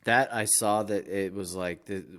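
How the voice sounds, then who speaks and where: Low-pitched man in casual podcast chat. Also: fairly even intonation, unhurried, fairly bright tone. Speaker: podcast co-host.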